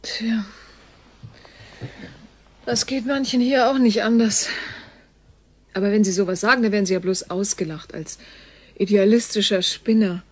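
A woman playing an informal, friendly and frank Earth woman in a science fiction radio play, with a halting, colloquial delivery. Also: mid-range voice, husky. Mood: sympathetic, frank.

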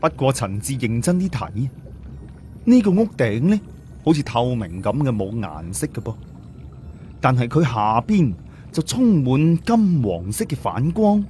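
Guy reading an audiobook, very animated, dramatic, bit of noise behind. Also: mid-range voice, unhurried. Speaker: audiobook narrator. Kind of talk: dramatic scripted story narration.

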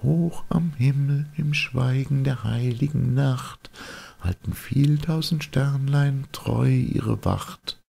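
A man voicing tiny stars in a storybook, giving a gentle lullaby in a tender singsong. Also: mid-range voice, takes it slowly, vocal fry, slightly rough, pitched above the performer's own voice, exaggerated voice. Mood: tender, hushed.

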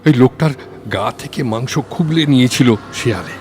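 A man acting an anxious, eager subordinate in an audio story, respectful and questioning, quick to get excited. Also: medium pitch, slight background noise, natural voice. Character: Nanda, deferential, anxious, eager male subordinate.

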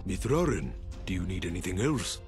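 Wise old creature chief for a game, deep voice, solemn n formal, music behind. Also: male voice, sing-song. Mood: formal, concerned. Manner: grave, measured, formal. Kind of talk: scripted game dialogue.

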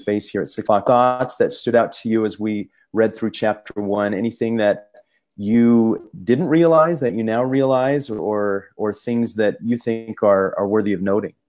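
A man co-hosting a casual discussion, talking in halting bursts with many restarts and fillers. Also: fairly low pitch, fairly even intonation, darkish tone. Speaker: podcast co-host.

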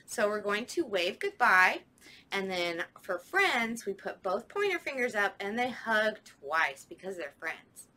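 A woman's voice: mid-range voice, very animated, unhurried, pushed and bright. A young woman, a children's librarian, leading a storytime with rhymes in a sing-song, playful way, recorded indoors in a library by a camera a few steps away.

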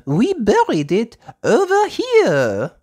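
A woman voicing a kindly female gazelle schoolteacher in a storybook, with patient, drawn-out teacherly phrasing. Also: fairly high pitch, wildly swooping pitch, a little mellow, breathy edge, voice pushed up, heavily acted. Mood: patient, instructive.

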